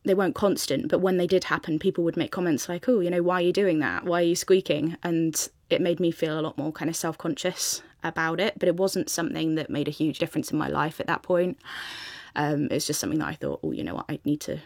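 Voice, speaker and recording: A woman's voice: low-pitched, quick pace, darkish tone, no room sound, clean studio sound. A young woman answering interview questions in a reflective way, with hedging fillers. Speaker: guest.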